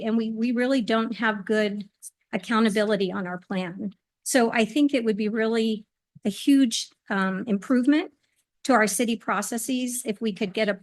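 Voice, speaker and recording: Earnest woman giving a public comment. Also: on the high side. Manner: earnest, measured, with some hesitant restarts. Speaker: public commenter at a council meeting. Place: council meeting hall. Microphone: podium microphone.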